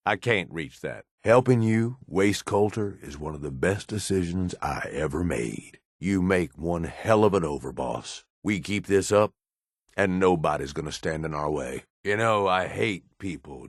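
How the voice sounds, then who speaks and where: A low, gruff American male raider from a post-apocalyptic video game, recorded close and dry. He is a cynical, loyal henchman who talks bluntly in coarse street slang. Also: a bit bright, no room sound, full character voice. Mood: casual, obliging, then approving. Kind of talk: video game companion voice lines.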